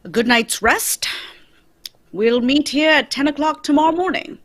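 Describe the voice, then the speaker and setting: A woman playing a shrewd, courteous gentleman detective in a radio mystery, speaking formally and probingly. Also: high-pitched, normal speed. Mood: assured, genial. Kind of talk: old-time radio mystery acting, read live.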